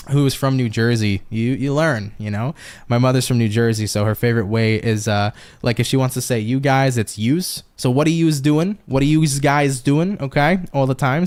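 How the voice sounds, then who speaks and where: A man's voice: lowish voice, darkish tone, clean tone. New york guy doing a tarot stream, chatty, swears a lot, talks right to chat. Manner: chatty, swears freely, talks straight to viewers. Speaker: tarot reader. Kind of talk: casual live-stream tarot reading.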